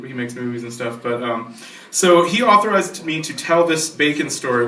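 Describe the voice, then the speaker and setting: A man's voice: medium pitch, sharp tone. A man casually telling an anecdote to a group, recorded from a distance in a roomy space. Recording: roomy echo, distant microphone.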